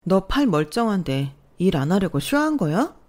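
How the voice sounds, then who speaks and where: A haughty, spoiled young sister-in-law with a high, shrill voice, as a woman storyteller puts her on. She sounds indignant and sharp. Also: big pitch swings, moderate pace.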